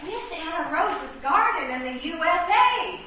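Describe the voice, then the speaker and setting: A woman's voice: extremely high pitch, big pitch swings, unhurried, sharp tone, slight background noise, full character voice. A woman playing a cheeky, naive chess pawn who speaks bluntly in a comic radio play. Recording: very echoey room, distant mic.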